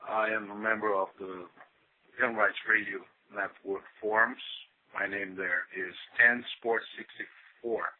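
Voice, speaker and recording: A man with a Brazilian accent leaving a hesitant call-in message to a radio show, with many pauses and fillers. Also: on the low side, level tone, husky, no room sound. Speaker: caller. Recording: muffled phone line.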